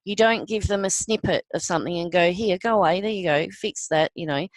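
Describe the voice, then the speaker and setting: A woman's voice: fairly low pitch, no room sound. Earnest woman, dry close sound. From Australia. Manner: earnest, halting with many ums. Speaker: interview guest. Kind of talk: personal testimony.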